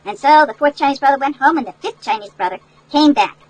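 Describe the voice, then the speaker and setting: An older woman with a high voice reads a storybook to young children. She breaks off for chatty asides, explains the lesson like a grandmother and keeps checking in with little questions. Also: lively intonation, quick pace, rough recording. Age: older, great-grandmother. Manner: instructive, checks in with listeners through tag questions. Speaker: grandmother reading a picture book to children.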